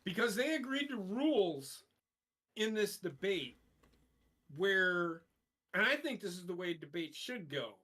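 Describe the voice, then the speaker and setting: A blunt, profane man holding forth on a casual podcast. His pitch swings up and down as he rants. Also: sing-song, unhurried, sharp tone. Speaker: podcast co-host. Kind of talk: casual opinionated chat.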